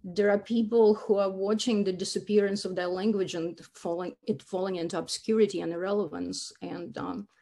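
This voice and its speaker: Woman, young adult, earnest, halting restarts, mellow tone, laptop mic. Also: mid-range voice, steady tone, gravelly. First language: Russian. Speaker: linguistics speaker. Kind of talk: academic talk over slides.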